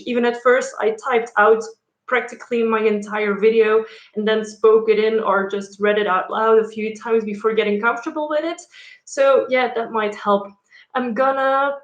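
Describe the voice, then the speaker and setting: A woman's voice: a little high, roomy sound. A young woman with a Dutch accent, a native speaker of Dutch and French, chatting casually with her viewers on a live stream, with many fillers. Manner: chatty, frequent fillers and laughs. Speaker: YouTuber.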